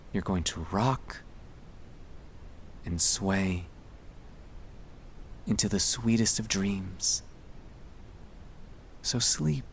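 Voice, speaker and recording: A man narrating a sleep-aid ASMR monologue in a gentle, hushed, soothing and reassuring manner. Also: on the low side, slight background noise. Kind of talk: ASMR guided relaxation, scripted comfort monologue.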